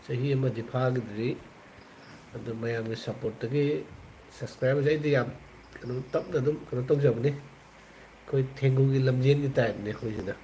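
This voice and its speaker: A man's voice: mid-range voice, racing, long pauses, warm tone, a little hiss or hum, rough recording. A man from northeast India with a Manipuri accent, chatting casually and rambling to his viewers on a live stream.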